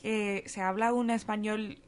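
A woman chatting in a relaxed group conversation, unhurried and lightly humorous. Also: medium pitch, over a noisy crowd. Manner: slow, with playful put-on quotes. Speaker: panelist. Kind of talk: casual panel chat.

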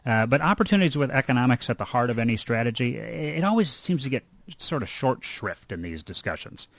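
A man hosting an interview show, speaking in measured, formal phrases as he introduces topics and questions a guest. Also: medium pitch, sing-song, no room sound. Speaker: radio show host and interviewer.

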